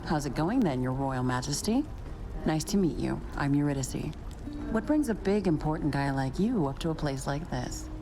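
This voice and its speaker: A sassy, generous nymph with a low, animated voice. She is playful and familiar in game dialogue set over music. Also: normal speed. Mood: teasing.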